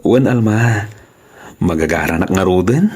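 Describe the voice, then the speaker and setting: A confident young boyfriend in an audio drama , played by a man, with a Filipino accent. His voice is very low and husky, and he jokes with assurance. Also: lively intonation, unhurried, a little mellow, heavily acted. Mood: assured, playful. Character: confident, joking young suitor, devoted boyfriend. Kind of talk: radio drama acting.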